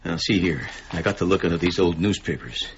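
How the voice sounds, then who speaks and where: Deep-voiced anxious small-town father. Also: brisk, a little hiss or hum. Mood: urgent, conspiratorial. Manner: hesitant, stammering, earnest. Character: anxious, protective middle-aged small-town librarian father. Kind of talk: radio drama acting and first-person narration. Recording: muffled radio broadcast with faint music.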